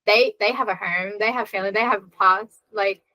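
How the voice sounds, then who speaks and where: A woman's voice: medium pitch, steady tone, a little fast, no echo, lo-fi sound. Earnest woman in a dry room. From Australia. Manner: earnest, reflective, many fillers. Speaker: podcast guest, content creator. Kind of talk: casual podcast chat.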